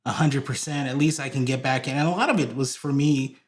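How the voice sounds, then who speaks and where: A man's voice: medium pitch, a little fast. A man from Hawaii, a guest on a podcast, telling stories in a rambling way with many fillers and restarts. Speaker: podcast guest, church video director. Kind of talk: casual interview chat.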